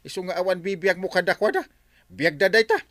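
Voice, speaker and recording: A man's voice: high voice, a little fast, a bit bright, no room sound, studio quality. A man playing an easygoing village man, reasoning hesitantly in a comedy drama. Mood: resigned, reasoning.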